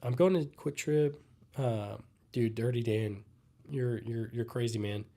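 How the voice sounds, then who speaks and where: A man's voice: medium pitch, a little slow. A young man who is sick and losing his voice, casually chatting and answering viewers' questions on a livestream. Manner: relaxed, rambling, answers chat comments. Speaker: livestream host. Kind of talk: casual live Q&A chat.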